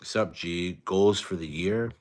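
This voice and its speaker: A young man hosting a casual late-night live stream, soft-spoken and low-energy, chatting with viewers and reading their comments. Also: takes it slowly. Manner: tired, low-energy, laid-back.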